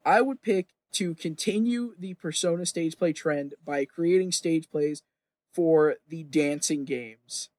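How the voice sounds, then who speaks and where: A podcast host talks in a casual, opinionated ramble. The voice sits at a middling pitch, recorded dry with little room sound. The delivery is emphatic and sweary, circling back to repeat phrases for emphasis. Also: slow, a little mellow, no room sound.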